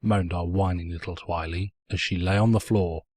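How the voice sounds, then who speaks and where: Casting: male story narrator, raspy, mock-pompous and sardonic, very dry close recording. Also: low-pitched, steady tone, moderate pace, a little mellow, dry recording. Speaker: narrator, fan fiction reader. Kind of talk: theatrical scripted story narration.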